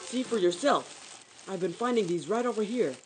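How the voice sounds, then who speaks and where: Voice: medium pitch, lively intonation, normal speed, over loud music, lightly acted. A performer playing an earnest, pleading young boy, a reverend's son, in an old-time radio drama style. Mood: excited wonder.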